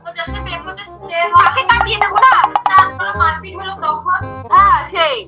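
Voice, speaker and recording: A woman's voice: very high-pitched, fairly quick. A young woman chatting casually on the phone, challenging and questioning, often repeating her questions. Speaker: prank call recipient. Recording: phone call under loud music. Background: music.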